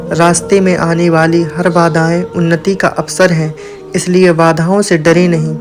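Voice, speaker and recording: A man narrating a scripted moral tale as a storyteller. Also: high voice.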